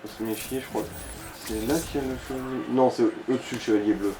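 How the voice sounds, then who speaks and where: A man's voice: medium pitch, steady tone, quick pace. A man chatting casually as he guides a player through a video game, with crude slang and swearing. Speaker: let's play co-commentator guiding the player. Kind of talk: casual gaming chat. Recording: roomy echo with clear background noise.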